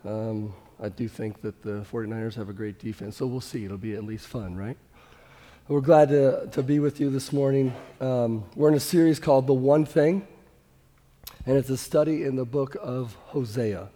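A man's voice: mid-range voice, steady tone, moderate pace, dark tone. Guy pastor preaching, bit froggy, chatty and earnest, big echoey church room. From Kansas City, USA. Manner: conversational, earnest, with frequent restarts. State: hoarse. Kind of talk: sermon. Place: church sanctuary.

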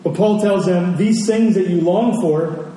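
Male preacher, higher-pitched, mellow voice, emphatic repetition; sermon delivered in a big echoing hall. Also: measured pace. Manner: emphatic, repeats phrases for effect. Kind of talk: sermon to a congregation. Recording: very echoey hall, distant mic, faint noise.

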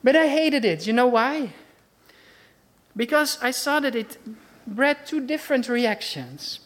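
A woman's voice: on the high side, very animated, a little slow. A woman with a Dutch accent preaching a sermon in an earnest, conversational way, asking her listeners questions.

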